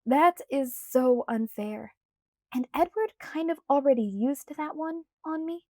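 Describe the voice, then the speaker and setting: A woman's voice: high-pitched, expressive, a little slow, warm tone, no echo, clean studio sound, pitched above the performer's own voice. Woman voicing an exasperated young woman. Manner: groaning, protesting.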